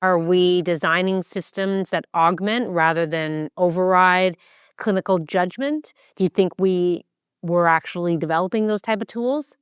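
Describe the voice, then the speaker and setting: Woman podcast host asking questions, lowish voice, super clean and smooth, dry sound. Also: normal speed, dry recording. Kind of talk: interview questions.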